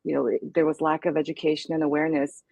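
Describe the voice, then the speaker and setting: A woman's voice: deep voice, flat delivery, fast talker, vocal fry, dark tone, dry recording. A woman speaking in an interview, earnest and reflective as she talks through her experience. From United States. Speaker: guest speaker. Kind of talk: candid interview conversation.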